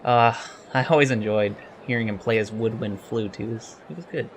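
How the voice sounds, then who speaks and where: A man's voice: fairly low pitch, moderate pace, slight background noise, lightly acted. A man playing an earnest young alien cadet in an audio drama, speaking politely and precisely with hesitant ums. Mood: chatty, fond. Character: earnest young male alien Starfleet cadet, eager and scholarly. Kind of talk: audio drama interview acting.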